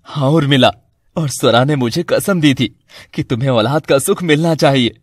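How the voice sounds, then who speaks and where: A man's voice: mid-range voice, normal speed, no room sound, studio quality, lightly acted. A man voicing an earnest, persuasive, protective husband and father in a cartoon story. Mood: earnest, explaining.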